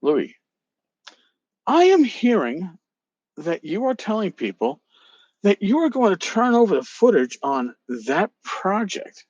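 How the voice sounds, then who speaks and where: A man hosting a podcast, talking casually and reading aloud in an emphatic, theatrical, sometimes halting way, on a slightly lo-fi recording. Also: high-pitched, huge pitch swings, darkish tone, no room sound. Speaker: podcast host. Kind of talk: casual talk with dramatic reading of emails aloud.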